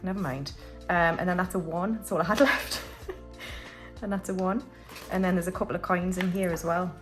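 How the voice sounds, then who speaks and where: A woman's voice: lowish voice, fairly even intonation, normal speed, soft background music. Chatty British woman in her forties. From United Kingdom. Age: 40s. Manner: chatty and rambling, with frequent restarts and fillers. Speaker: budgeting vlogger. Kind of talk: casual chat while stuffing cash envelopes.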